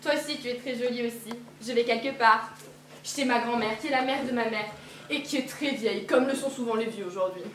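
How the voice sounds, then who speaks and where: A woman's voice: a little high, fairly quick, slight background noise, lightly acted. A teenage girl playing a trusting little girl who chatters eagerly and repeats herself, in a school play. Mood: innocent. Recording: echoey hall from far off.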